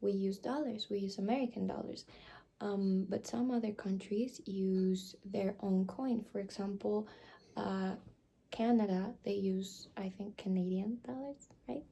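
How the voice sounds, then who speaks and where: A young woman doing a whispered ASMR roleplay, speaking softly and hesitantly with many fillers. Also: mid-range voice, steady tone, measured pace, vocal fry, slightly rough. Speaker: ASMR creator.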